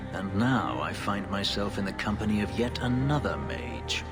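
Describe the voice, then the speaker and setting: Brief: a man playing a brooding elven ex-slave warrior, very low and grave, formal and clipped, with game music underneath. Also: close to an everyday voice. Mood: bitter. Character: brooding male elf warrior, escaped slave. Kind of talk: video game dialogue. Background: game score.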